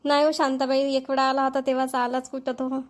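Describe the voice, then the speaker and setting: A woman plays a middle-aged cartoon village wife with a fairly high voice and a quick tongue. She is outspoken and emphatic, with a Vidarbha Marathi accent. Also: completely flat delivery, close to an everyday voice. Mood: chatty, impressed. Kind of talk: amateur cartoon voice acting.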